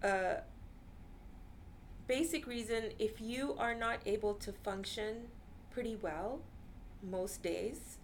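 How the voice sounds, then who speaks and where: A woman's voice: a little high, slow pace, pauses often. Woman, therapist, earnest casual talk, native Urdu speaker, slight background hiss. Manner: earnest, frequent "you know" fillers.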